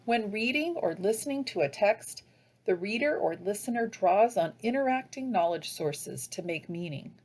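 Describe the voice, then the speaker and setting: An American woman delivers a scripted training presentation for teachers. Her pitch moves up and down in a lively way around a medium register, and she explains points in a measured, instructive manner.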